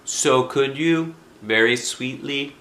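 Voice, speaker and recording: A man's voice: medium pitch, slow pace, a bit bright, reverberant, voice pushed up. A man voicing an eager, earnest little boy in a storybook, asking in a polite, coaxing way.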